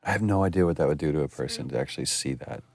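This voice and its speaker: A man's voice: very deep voice, very fast, darkish tone. A man chatting casually as a commentator over game cutscenes.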